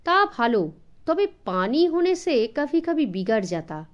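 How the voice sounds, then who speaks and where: A woman's voice: high-pitched, sing-song, unhurried. A woman voicing a helpful, plain-spoken male hill driver in an audiobook story, in simple mixed-language phrases. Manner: simple phrases mixing Hindi into Bengali.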